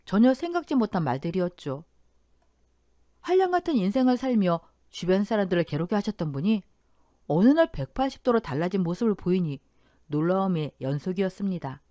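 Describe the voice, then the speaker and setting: A woman's voice: on the low side, dark tone, no room sound, clean studio sound. A woman reading a scripted first-person life story as a radio drama narrator.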